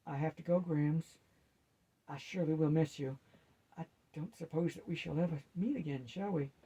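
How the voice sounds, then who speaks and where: An earnest storybook grandson played by a male reader, higher in pitch and husky. He sounds sincere, respectful and a little unsure. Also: moderate pace, mellow, low-quality recording. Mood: sad.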